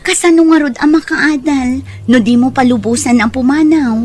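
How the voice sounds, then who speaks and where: A woman with an Ilocano accent playing a persuasive, caring aunt in an audio drama, with an expressive, coaxing delivery. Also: high-pitched, moderate pace. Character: Auntie Mikaela, persuasive, caring female relative.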